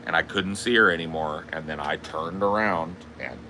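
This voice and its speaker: Deep-voiced booming man, profane and blunt. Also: moderate pace, very noisy. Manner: profane, storytelling. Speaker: podcast host. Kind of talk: casual chat.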